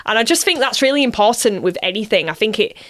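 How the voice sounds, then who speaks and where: A woman's voice: on the high side, animated, fast, a bit bright. A woman speaking casually and reflectively as an interview guest, with an earnest, effusive, emotional manner.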